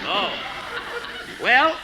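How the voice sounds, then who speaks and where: A man's voice: extremely high pitch, big pitch swings, drawn-out words, exaggerated voice. A man playing a patient, eager store clerk with a polite, coaxing manner in old radio comedy style. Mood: curious, polite. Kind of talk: 1950s radio comedy acting. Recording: noisy old radio broadcast.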